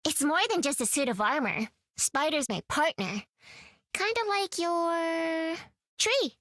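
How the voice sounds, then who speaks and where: Cheerful young heroine, video game, high-pitched, animated, casual, confident. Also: female voice, slow, no room sound, studio quality, heavily acted. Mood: upbeat. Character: upbeat, confident young girl hero.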